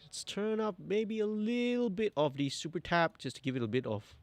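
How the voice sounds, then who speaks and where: A young man, talking through a mix on a studio livestream, relaxed and thinking aloud as he works. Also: high-pitched, moderate pace, loud music behind the voice. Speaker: mixing engineer host. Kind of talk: livestream mixing tutorial. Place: indoor music studio.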